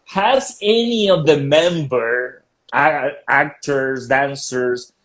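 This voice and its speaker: A man's voice: medium pitch, slow pace, a bit bright. A man whose first language is Spanish, hosting a casual interview, laughing often and searching for his words. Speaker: interview host.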